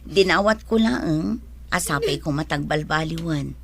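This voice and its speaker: A woman's voice: sits low, a little slow, creaky, fairly bright tone, heavily acted. A woman acting a sensible, generous older sister from the village in a radio comedy drama, with a Filipino accent. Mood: admonishing.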